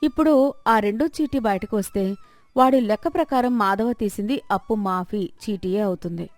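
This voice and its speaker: A woman narrates a scripted moral tale. Her voice sits at a medium pitch and is recorded dry, with no room sound. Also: normal speed, darkish tone, dry recording.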